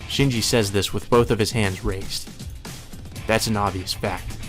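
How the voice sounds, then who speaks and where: A man's voice: on the low side, fairly even intonation, measured pace, fairly bright tone. A man reading visual novel narration aloud as a let's play host. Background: faint music.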